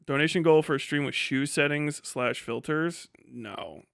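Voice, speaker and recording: A man's voice: on the high side, a little slow, creaky, fairly bright tone, clear, resonant tone, no room sound, studio quality. A tired man casually chatting and ranting on a livestream as its host, rambling and bluntly swearing. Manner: rambling, blunt, heavily profane. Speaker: livestream host and video creator.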